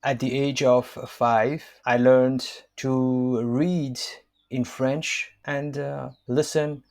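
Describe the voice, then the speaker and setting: A man in his fifties from Nepal, whose first language is Newari, reading his own book aloud in a measured, hesitant way with frequent fillers. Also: medium pitch, level tone, a little mellow. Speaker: author and language counselor.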